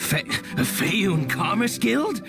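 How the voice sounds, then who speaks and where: A shady game merchant with a conspiratorial manner. He talks slowly, swinging widely in pitch, over loud background music. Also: very animated, vocal fry, full character voice. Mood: wary, then accommodating. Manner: conspiratorial, shifty. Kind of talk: English video game voice acting.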